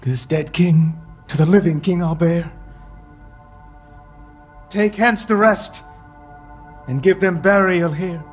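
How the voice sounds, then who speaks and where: A man's voice: high-pitched, takes it slowly, long pauses, slightly rough, faint music behind, exaggerated voice. An actor playing a remorseful knight who has killed a king, solemn and declamatory in a Shakespeare radio play.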